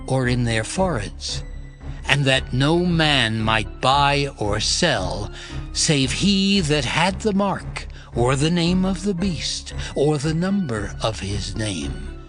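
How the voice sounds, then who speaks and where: A man narrating a dramatized scripture reading in a slow, solemn, deliberate manner. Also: mid-range voice, fairly bright tone. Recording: music bed underneath.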